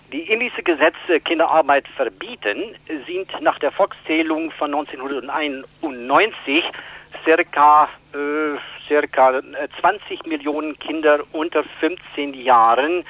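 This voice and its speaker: Halting man on a dull phone line. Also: on the high side, no room sound. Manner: halting, hesitant phrasing. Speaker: children's aid organisation spokesman. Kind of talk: interview answers.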